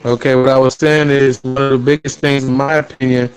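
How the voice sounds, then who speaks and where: Man, earnest, unhurried, lots of fillers, mid pitch. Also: flat delivery, faint music behind. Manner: earnest, unhurried, frequent fillers like "you know" and "um". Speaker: interviewee, spiritual practitioner. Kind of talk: casual conversational explanation.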